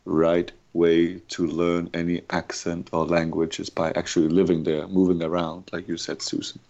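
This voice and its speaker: Danish guy, really low voice, kinda dull lo-fi sound, chill podcast guest. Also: completely flat delivery, normal speed, vocal fry, a little mellow. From Denmark. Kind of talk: casual conversation. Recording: muffled lo-fi remote audio.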